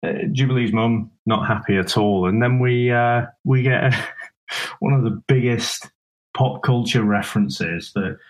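A man co-hosting a casual film review talk, explaining things in a halting, conversational way with tag questions. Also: mid-range voice, poor audio. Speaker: film review podcast co-host.

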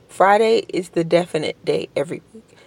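A woman hosting a casual solo podcast from home, under the weather with a strained voice, talking slowly with many hesitations and apologies. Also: on the low side, vocal fry, a little mellow, no room sound. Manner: slow, hesitant, many ums and restarts; polite and apologetic. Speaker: podcast host. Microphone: home podcast microphone.

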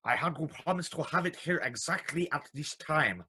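An actor playing a polite, fussy, apologetic clock repairman in a radio drama, with a husky, hesitant, formal delivery. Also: a little high, expressive, normal speed, bright, forceful tone, full character voice. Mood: fussy, wary. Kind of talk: vintage radio drama acting.

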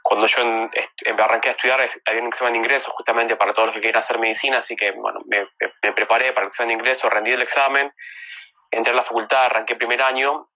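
A man's voice: mid-range voice, little pitch movement, racing, sharp tone, lo-fi sound. An Argentine man in his thirties chatting casually as an interview guest, rambling and correcting himself as he goes. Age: 30s. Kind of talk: casual chat. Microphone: phone with earphones on a livestream.

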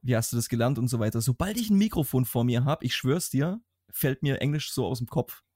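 A man's voice: medium pitch, animated, brisk, dark tone, no room sound, studio quality. A young man with a Swabian accent chatting casually as a podcast co-host, rambling and restarting his sentences.

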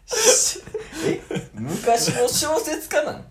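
A man's voice: very high-pitched, wildly swooping pitch, extremely slow, echoey room, slight background noise. A man with a Kansai Japanese accent doing casual comedic podcast banter as the straight man, firing off blunt, exasperated retorts often through laughter. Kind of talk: casual comedic free talk.

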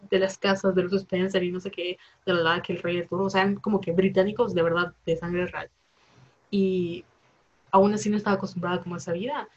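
A young Mexican woman in her twenties talks in a loose, rambling way, stacking up fillers and slang. Her voice sits at a middling pitch, and the recording sounds a little dull and lo-fi. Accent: Mexican Spanish. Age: 20s. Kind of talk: casual chat.

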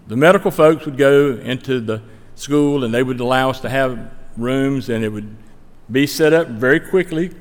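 A man's voice: medium pitch, normal speed. A man with a Stokes County North Carolina accent giving a folksy, earnest mission trip report to a church, speaking plainly and conversationally over slides. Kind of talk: plainspoken slide-show presentation. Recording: long hall reverb.

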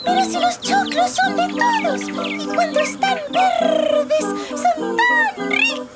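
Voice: very high-pitched, takes it slowly. A performer voicing a cheeky, hungry little sparrow who argues plaintively in children's radio theatre. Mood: defensive, pleading. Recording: music behind the voice.

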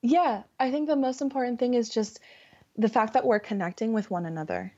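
A young woman with a Californian accent, a guest chatting casually, friendly and unhurried, with many fillers. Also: a little high, mellow. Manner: friendly, fillers and laughs, deliberate. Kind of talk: casual conversation. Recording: dull lo-fi sound.

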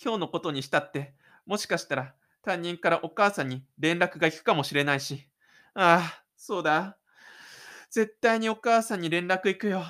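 A narrator voicing a stubborn, lonely high school boy musician in excited, rambling self-talk for an audio drama. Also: moderate pace, close to an everyday voice. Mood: anxious. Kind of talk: audio drama inner monologue.

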